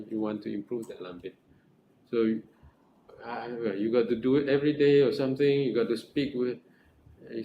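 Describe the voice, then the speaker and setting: A middle-aged man with a Malaysian accent speaks as a supervisor guiding a student, his voice sitting fairly low. He talks earnestly, circling back over points and often checking in with "right?". The room sounds echoey, with a faint hiss underneath. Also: creaky, highs cut off. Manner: earnest, repetitive, with frequent restarts and rhetorical "right?". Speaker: academic supervisor. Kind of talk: advising a research student in group supervision.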